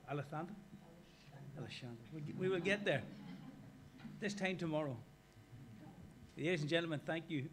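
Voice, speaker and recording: A man's voice: on the high side, a little hiss or hum. A man with an Irish accent giving a conversational workshop lecture and putting questions to the audience. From Ireland. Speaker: guest lecturer. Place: lecture hall.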